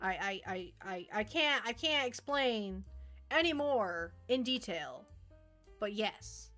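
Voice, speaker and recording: A woman's voice: mid-range voice, animated, slow, fairly bright tone, soft background music. A woman chatting casually to viewers as a livestream host, rambling playfully and often repeating her phrases.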